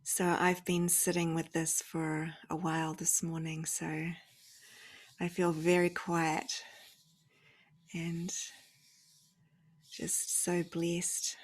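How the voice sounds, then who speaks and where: Slow, breathy woman guiding meditation. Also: on the low side, monotone. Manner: soft, hushed, often slipping into breathy near-whisper; unhurried, with long pauses between phrases. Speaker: guided meditation leader. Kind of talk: gentle guided meditation talk.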